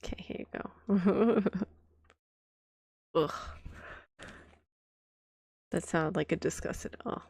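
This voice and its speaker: A woman streamer with a cold chats softly and rambles, her voice hoarse and scratchy, giggling and coughing often. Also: takes it slowly, long pauses, no echo, studio quality. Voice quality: deep, scratchy voice. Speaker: vtuber streamer. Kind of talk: soft-spoken casual stream chat.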